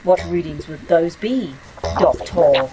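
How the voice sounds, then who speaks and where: A woman playing a calm, formal artificial intelligence in a sci-fi audio drama, speaking with precision. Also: lowish voice, slow pace, crowd noise. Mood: measured, narrating. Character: calm, formal, attentive female A.I. of a secret facility.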